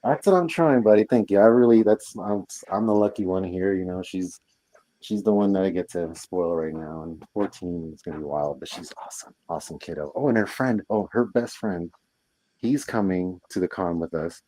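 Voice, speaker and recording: Low-voiced, dark-toned man, animated. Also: moderate pace, low-quality recording. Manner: animated, laughs often, mimics others in quotes. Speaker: host. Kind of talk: casual chat.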